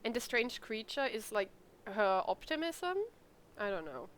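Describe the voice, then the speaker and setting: A woman's voice: mid-range voice, sing-song, a little slow, a little hiss or hum. A woman streaming a game, chatting casually and reacting in an informal, emotive way. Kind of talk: casual chat and reactions during gameplay. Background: game music and dialogue.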